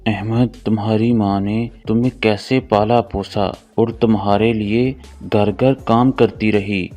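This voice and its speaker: A man's voice: mid-range voice, warm tone, natural voice. A man voicing a stern, reproachful villager in a moral story, accusing in manner. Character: reproachful villager scolding an ungrateful son.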